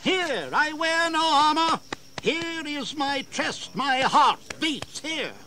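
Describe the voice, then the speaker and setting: An actor playing a proud, defiant old colonial governor in a historical audio drama, with formal, declamatory delivery. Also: male voice, extremely high pitch, animated, slow pace, sharp tone, exaggerated voice. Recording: audible background noise and ambience.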